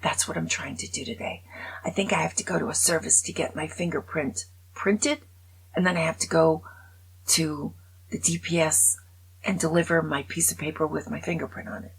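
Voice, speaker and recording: A woman's voice: low-pitched, steady tone, normal speed, gravelly, slight background noise, rough recording. A woman in her seventies chatting casually to camera, rambling and poking fun at herself. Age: 70s. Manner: chatty, rambling, self-mocking humor. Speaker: vlogger.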